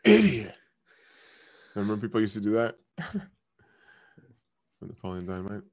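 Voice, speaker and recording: A man hosting a live stream, heckling strangers in random video chats with loud, crude, swearing banter. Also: on the low side, big pitch swings, moderate pace. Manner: loud, taunting, swearing often. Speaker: streamer host.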